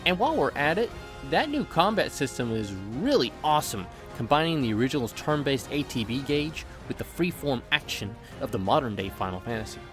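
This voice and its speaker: A man delivers a scripted comedic review as a voice-over. His delivery is playful and exaggerated, at a medium pitch, with music clearly playing behind him. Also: very animated, fairly quick, few pauses. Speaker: video game reviewer. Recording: background music under the voice.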